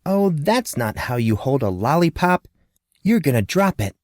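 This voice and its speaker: A man's voice: a little high, big pitch swings, a little slow, a little mellow, dry recording, clean studio sound. A man voicing a curious, chatty little girl in a storybook, sweet and wondering. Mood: fussy.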